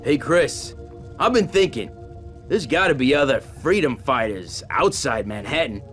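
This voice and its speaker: An actor playing a determined, idealistic resistance fighter, talking casually and reflectively in a video game. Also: male voice, medium pitch, lively intonation, a little slow, fairly bright tone, faint music behind. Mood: hopeful, resolved. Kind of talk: video game cutscene dialogue.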